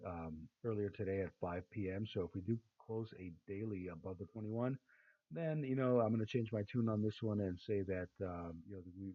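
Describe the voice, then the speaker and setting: A man giving casual chart analysis with a raspy, tired voice, rambling with many fillers and restarts. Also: on the low side. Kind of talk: casual screen-share market analysis. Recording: tinny phone-like sound.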